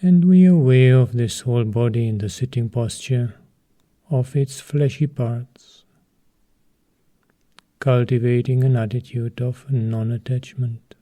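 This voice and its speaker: A man leading a guided meditation as a teacher, speaking calmly and in short, measured phrases with long pauses. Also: medium pitch.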